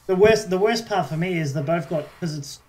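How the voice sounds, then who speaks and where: Higher-voiced Australian man chatting casually. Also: a little fast, a little mellow, slight background noise. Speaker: podcast co-host. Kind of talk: casual chat.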